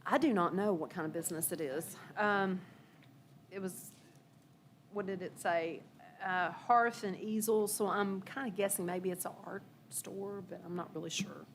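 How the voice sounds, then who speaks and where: Low-voiced woman, slightly hoarse. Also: fairly even intonation, normal speed, slight background noise. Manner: hesitant, many fillers and restarts. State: losing her voice. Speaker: city preservation staff. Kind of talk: informal meeting report. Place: council meeting room.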